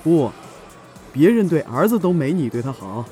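Cynical chatty buddy, animated, higher male voice, teasing, theatrical, audio drama. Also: moderate pace, soft background music. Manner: flippant, needling, theatrical. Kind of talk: Chinese audio drama acting.

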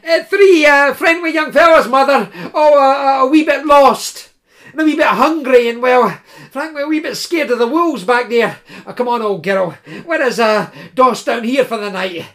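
A man's voice: extremely high pitch, normal speed, a bit bright, pitched above the performer's own voice. A man voicing a hungry, jittery young male student who stammers and wheedles. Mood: wheedling. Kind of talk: storybook character voice.

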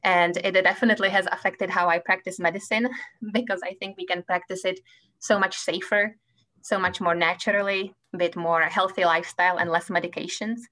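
Czech lady in her 50s telling her story, low voice, bright edge, chuckles a lot. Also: steady tone, a little fast. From Czech Republic. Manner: laughs between phrases, warm storytelling. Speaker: guest physician. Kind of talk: casual interview chat.

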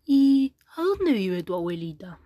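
A woman playing a sly, coaxing male wolf in a fairy tale radio play, with a husky voice. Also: medium pitch, very animated, measured pace, lo-fi sound. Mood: falsely friendly. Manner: coaxing, sweetly deceitful. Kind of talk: school radio play acting.

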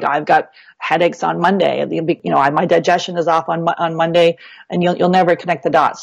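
A woman's voice: lowish voice, darkish tone, no room sound, lo-fi sound. A woman coach as a podcast guest, explaining step by step in a chatty way with many fillers. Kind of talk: casual explanatory interview talk.

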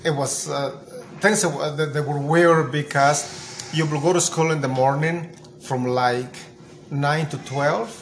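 A man in his sixties from Mexico, a grandfather answering questions slowly and repeating himself in a casual family interview. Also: a little high, steady tone. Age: 60s. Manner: slow, halting, repeats himself. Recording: noisy home recording.

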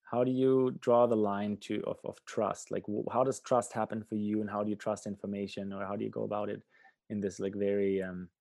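Low, creaky-voiced man interviewing. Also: little pitch movement, fairly quick, a little mellow, dry recording, studio quality. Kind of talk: conversational interview.

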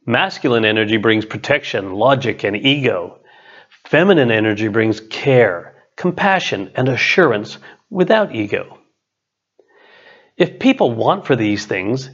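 Middle-aged male author-narrator, medium pitch, measured inspirational read with emphatic pauses, slightly muffled sound. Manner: measured, emphatic pauses before contrasting phrases. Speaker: author reading own book chapter. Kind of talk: scripted narration, inspirational business writing.

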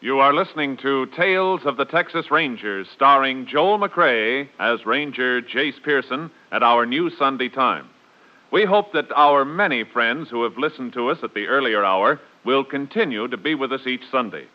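A man's voice: mid-range voice, expressive, moderate pace. A man announcing formally in the style of 1950s radio. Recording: muffled old radio recording.

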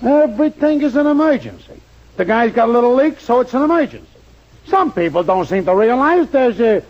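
An actor playing a grudging, slangy working-class plumber's helper in a 1940s radio comedy. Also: male voice, very high-pitched, big pitch swings, brisk, heavily acted. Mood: grudging, dismissive. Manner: grudging, dismissive, folksy ungrammatical speech. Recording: dull old radio recording.

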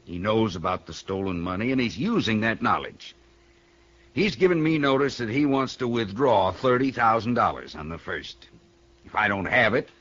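Worried American banker, lowish voice. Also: male voice, fairly bright tone. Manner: anxious, emphatic. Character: desperate, worried small-town Western banker. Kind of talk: 1950s radio western acting. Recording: muffled 1960 radio broadcast.

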